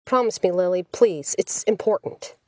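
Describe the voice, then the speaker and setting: A woman's voice: lowish voice, moderate pace, darkish tone, no room sound, studio quality, close to an everyday voice. Woman reading as anxious, protective young boyfriend. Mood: urgent, pleading. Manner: earnest, pleading.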